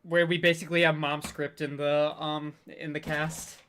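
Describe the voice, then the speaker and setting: A man chats casually as a livestream co-host. His pitch stays fairly flat and narrow, and he speaks haltingly, stammering and searching for his words. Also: slight background noise. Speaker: co-host of a panel discussion.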